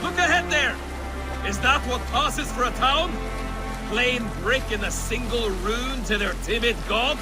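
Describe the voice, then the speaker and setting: A brash Viking raider jeers and boasts in a notably high, bright register. He speaks in cocky, mocking banter over loud game music. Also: a little slow. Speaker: Viking warrior Dag. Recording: game soundtrack music underneath.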